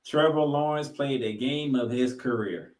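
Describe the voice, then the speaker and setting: A man co-hosting a sports podcast, recapping football games and reading out stats with emphatic, drawn-out figures. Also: medium pitch, a little mellow. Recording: roomy, echoing sound.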